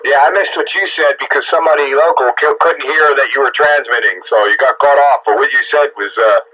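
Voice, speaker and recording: A man's voice: medium pitch, steady tone, a little fast, muffled recording. A man chatting casually on two-way radio in an opinionated, dismissive and blunt manner. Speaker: amateur radio operator chatting on air. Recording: noisy band-limited CB radio transmission.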